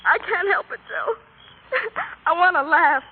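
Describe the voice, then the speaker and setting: A woman's voice: high-pitched, lively intonation, normal speed. An actress playing an emotional young woman in love, pleading and tearful, in 1940s radio drama style. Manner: emotional, pleading, voice often breaks. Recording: tinny old radio broadcast, faint hiss.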